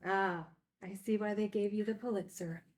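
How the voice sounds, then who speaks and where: An actress playing a candid, wry recovering addict who teaches at a group home, in a naturalistic screenplay read. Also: female voice, medium pitch, steady tone, moderate pace, lightly acted. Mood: wry, guarded.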